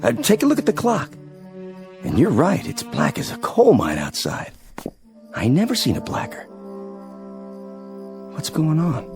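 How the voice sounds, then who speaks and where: A folksy, lazy deputy. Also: male voice, on the high side, wildly swooping pitch, a little slow, gravelly, pauses often, heavily acted. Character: lazy, easygoing small-town male deputy. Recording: radio drama with music.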